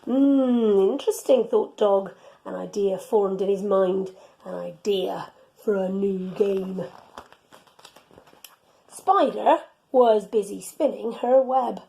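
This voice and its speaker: A woman reading a children's storybook aloud in an expressive, teacherly way. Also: medium pitch, slow pace, creaky, long pauses.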